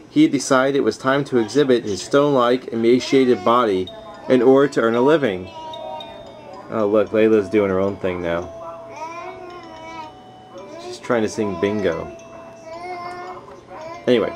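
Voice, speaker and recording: A man's voice: mid-range voice, a little mellow. Easygoing man reading aloud, noisy home recording. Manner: casual, easygoing, digressing into asides. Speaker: author reading own book aloud. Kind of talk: informal read-aloud of a nonfiction book with casual asides. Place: at home, household around.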